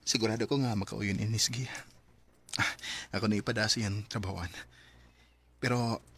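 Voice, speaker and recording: An actor playing an earnest, lovestruck young man in a radio drama, eager and soft-hearted. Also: on the low side, steady tone, moderate pace. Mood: hopeful, eager. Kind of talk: radio drama acting and letter narration.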